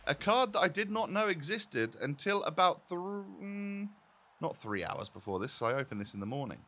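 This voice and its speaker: A man's voice: on the high side, big pitch swings, moderate pace, bright, forceful tone. A man hosting a gaming video with casual, chatty, rambling and self-deprecating commentary.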